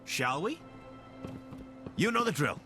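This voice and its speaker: An actor playing a friendly, wry scavenger companion in a video game, chatty and casual with dry humor. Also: male voice, a little high, very animated, takes it slowly, over music. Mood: businesslike.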